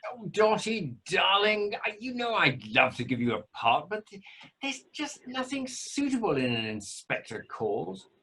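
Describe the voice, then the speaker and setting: Radio play director played by a man: luvvie, apologetic, stammering, husky, bouncy pitch. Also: very animated, measured pace, pushed and bright, exaggerated voice. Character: affable, evasive luvvie theatre director. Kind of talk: amateur radio play acting.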